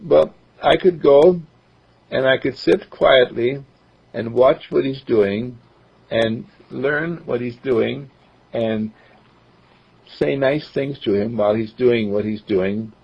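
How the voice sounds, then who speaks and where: A man voicing an earnest ten-year-old boy who is eager to help, speaking higher and somewhat whiny. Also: slow pace, creaky, slightly rough, lo-fi sound, exaggerated voice.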